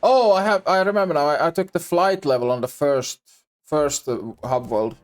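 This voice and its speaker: A man's voice: a little high, normal speed. A young man with a Finnish accent, whose first language is Swedish, chatting casually and hesitantly on a gaming stream with lots of restarts. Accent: Finland Swedish. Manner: rambling, hesitant, frequent restarts and laughs. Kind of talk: casual gaming livestream chat.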